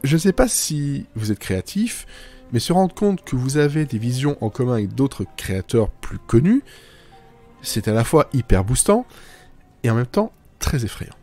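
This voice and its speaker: A man's voice: mid-range voice, sing-song, normal speed, a little mellow, faint music behind. A man narrating a scripted humour podcast in a wry, self-deprecating manner.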